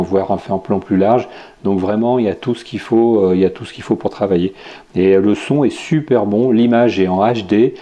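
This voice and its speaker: French guy podcasting, super dark tone, lots of euh and restarts. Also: on the low side, highs cut off. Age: adult with children. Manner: rambling, many fillers and restarts. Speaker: podcast host. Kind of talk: casual tech and games review.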